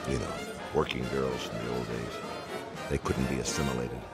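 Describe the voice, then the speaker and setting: A man's voice: very deep voice, steady tone, normal speed. A man playing a middle-aged, powerful and patronizing commander in a modern audio drama, self-assured and condescending. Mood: smug, expansive. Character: smug, powerful male commander, furtive and patronizing. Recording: loud music bed behind voice.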